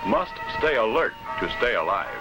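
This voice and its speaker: An American man delivers formal, scripted instructional film narration in an authoritative, deliberately enunciated way, on an old film soundtrack. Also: mid-range voice, very animated, loud music behind the voice, rough recording.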